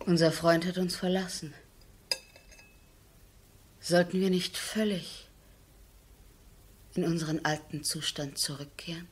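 A woman acting in a 1970s German radio drama as a composed, resolute aristocratic wife, with measured, formal diction. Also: fairly low pitch, steady tone, natural voice. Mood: grave, reasoning. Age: middle-aged.

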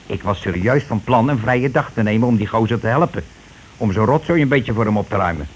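An actor playing a blunt, self-righteous working-class husband in a radio play, grumbling, bossy and rather pompous. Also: male voice, lowish voice, animated, fairly quick, natural voice. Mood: scornful. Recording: noisy old radio play recording.

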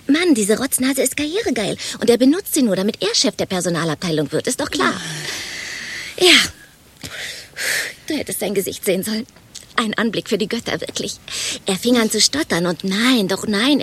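Husky, animated gossiping office woman. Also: mid-range voice. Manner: dramatic, gushing storyteller, mimics quoted exchanges. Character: chatty, gossipy office woman, breathless and overexcited. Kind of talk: radio play dialogue.

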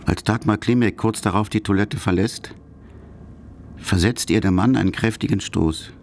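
A man narrating a radio documentary from a script, sober and in deliberate short phrases. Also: fairly low pitch, darkish tone, a little hiss or hum.

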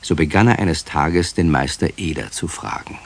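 A man's voice: extremely low pitch, animated, moderate pace, creaky, heavily acted. A man acting as narrator of a children's radio play, telling the story in a scripted storytelling style.

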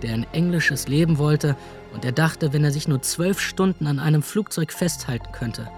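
An actor playing a drifting young would-be crime writer, giving a dry, self-deprecating monologue in a radio drama. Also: male voice, a little high, moderate pace, faint music behind, close to an everyday voice. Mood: sober, reflective. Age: young adult.

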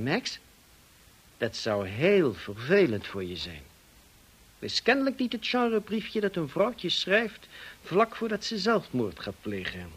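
An actor in a radio play playing a smug, insinuating blackmailer with a mocking, drawling, falsely polite manner. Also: male voice, on the high side, husky, exaggerated voice. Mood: mocking, threatening. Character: smug, insinuating male blackmailer, cousin of the dead woman.

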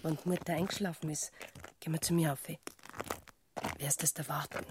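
A woman's voice: deep voice, fairly even intonation, brisk, background noise, lightly acted. An actress playing a chatty, teasing young woman with a Bavarian accent in a radio crime drama. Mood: coy, hushed. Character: chatty, teasing, down-to-earth young sweetheart of a policeman.

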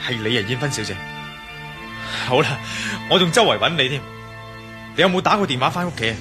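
A man's voice: medium pitch, animated, brisk, over music, natural voice. A man playing an insistent, impatient investigator in a radio drama, pressing with questions in Hong Kong Cantonese. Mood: concerned, probing. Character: insistent, impatient male investigator, master of the house.

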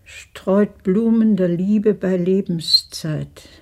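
A woman playing a solemn reciter in a literary radio play, with deliberate phrasing. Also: mid-range voice. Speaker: secondary narrator and reader.

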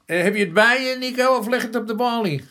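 A man's voice: very high-pitched, fast, bright, forceful tone, slight background noise. An actor playing a sceptical, informal police detective in a Dutch radio play, questioning what he hears. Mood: intent.

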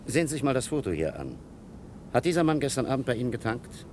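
A man acting a calm, probing police captain in a German crime radio play, speaking in a formal, measured way. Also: mid-range voice, natural voice. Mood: brisk, official. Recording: noisy vintage radio play recording.

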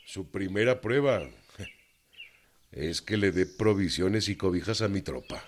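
An actor playing a commanding, defiant, folksy Mexican revolutionary general in a radio drama. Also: male voice, low-pitched, expressive, a little slow, slight background noise. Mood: stern.

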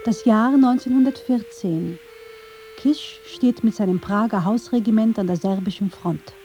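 Very mellow, dark-toned female narrator. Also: medium pitch, measured pace, faint music behind. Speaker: documentary narrator. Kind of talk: scripted radio feature narration.

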